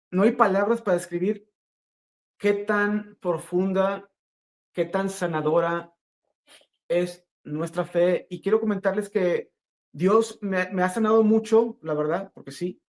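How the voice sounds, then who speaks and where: A middle-aged man giving an earnest, spontaneous personal religious testimony, speaking haltingly and repeating phrases for emphasis. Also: on the high side, a little mellow.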